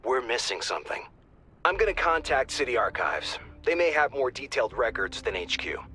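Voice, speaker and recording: An actor playing a brisk, wry mission controller giving informal, urgent dispatches in a game. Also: male voice, medium pitch, sing-song, bright, forceful tone, a little hiss or hum. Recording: music under the voice.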